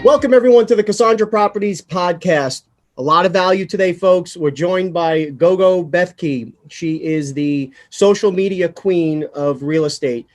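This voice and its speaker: A man hosting a podcast interview in a conversational style, phrasing his questions in a halting, thoughtful way. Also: high-pitched, faint music behind. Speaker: podcast host.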